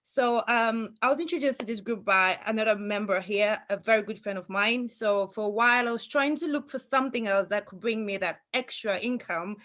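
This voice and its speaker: A woman's voice: medium pitch, a bit bright. A woman speaking on a video call as a student guest, giving an enthusiastic, earnest testimonial. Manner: enthusiastic, earnest, somewhat halting.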